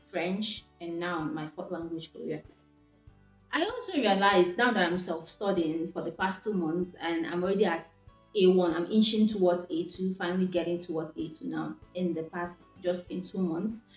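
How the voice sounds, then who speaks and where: A woman's voice: lowish voice, moderate pace, a little mellow. A young Nigerian woman with an Igbo first language, talking reflectively to camera and often restarting her sentences. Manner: reflective, with frequent restarts and self-corrections. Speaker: vlogger. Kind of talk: casual talking-to-camera vlog. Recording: echoey room with faint background music.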